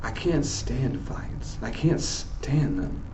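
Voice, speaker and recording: A man's voice: mid-range voice, lively intonation, slow pace, a little mellow, slight background noise, voice pushed up, low-quality recording. A man voicing an upset teenage girl from a novel, firm, pleading and emphatic. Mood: shaken. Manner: emphatic, insistent.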